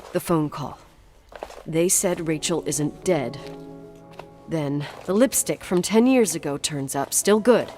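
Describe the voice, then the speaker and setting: A woman's voice: deep voice, a little mellow. An actress playing a strong-willed, defiant young woman in a video game, with an intense, emphatic, sweary delivery. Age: young adult. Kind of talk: naturalistic video game voice acting.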